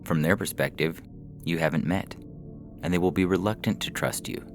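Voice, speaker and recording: A man reading a literary short story aloud in measured, deliberate phrases with pauses. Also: low-pitched, fairly even intonation. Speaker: story reader. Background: faint background music.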